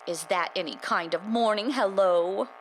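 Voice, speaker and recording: A woman's voice: mid-range voice, moderate pace, natural voice, low-quality recording. A woman playing a chirpy, oblivious, fussing housewife mother in a stylized horror drama, drawing out her words sweetly. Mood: concerned, scolding. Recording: steady background noise and hum.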